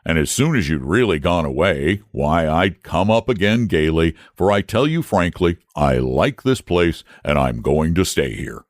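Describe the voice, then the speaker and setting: Guy doing a fussy old-fashioned dragon, deep and lazy, swoopy voice. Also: animated, normal speed, hardly any pauses, no echo, clean studio sound. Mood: placid. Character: lazy, genteel, self-satisfied male dragon.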